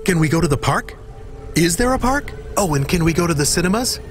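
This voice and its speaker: A man voices an eager robot dog in a game. The voice is highish for a male, animated and childlike, with faint music behind. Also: brisk, lightly acted. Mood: eager, playful. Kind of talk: video game character acting.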